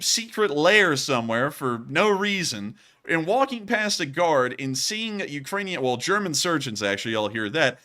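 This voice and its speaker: A man hosting a war news show, talking casually over video clips, speaking straight to his viewers and often sounding incredulous. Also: fairly high pitch. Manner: animated, talks straight to viewers, incredulous asides.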